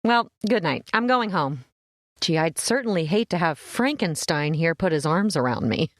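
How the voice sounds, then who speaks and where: A woman's voice: deep voice, expressive, normal speed, no echo. An actress playing a sceptical, wry young woman in an old-time radio horror drama, plain-spoken and probing. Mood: wry, playful.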